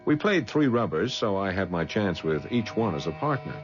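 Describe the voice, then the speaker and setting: An actor playing a polite, cool-headed detective who poses as a writer, with measured, wry delivery in a 1970s radio drama. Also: male voice, lowish voice, very animated, music in the background. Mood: measured, narrating. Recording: dull 1970s radio broadcast.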